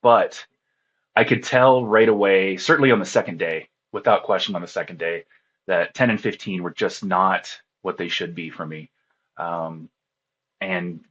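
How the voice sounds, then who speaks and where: A man with a husky voice, speaking casually as an interview guest and recounting his experiences. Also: low-pitched, fairly bright tone, highs cut off. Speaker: interview guest, ham radio contest operator.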